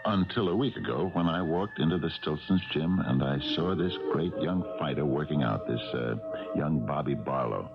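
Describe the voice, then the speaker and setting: An actor playing a grave, older retired policeman who speaks haltingly and earnestly in a 1970s radio drama. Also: very deep voice, lively intonation. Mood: grave, hesitant. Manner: halting, hesitant delivery with repeated pauses. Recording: dull vintage radio recording with music.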